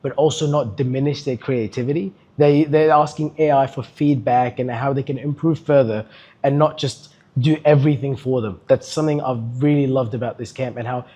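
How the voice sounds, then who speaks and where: A man's voice: mid-range voice, steady tone, dark tone, dull audio. A young Australian man answering interview questions with enthusiasm, stumbling now and then. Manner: enthusiastic, slightly halting. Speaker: student at an education camp.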